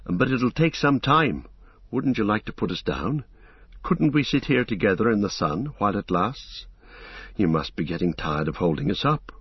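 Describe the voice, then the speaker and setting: A man's voice: fairly low pitch, expressive, normal speed, husky, dry recording. A man voicing a polite, earnest young halfling in a storybook, asking things tentatively, on a lo-fi recording. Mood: polite, coaxing.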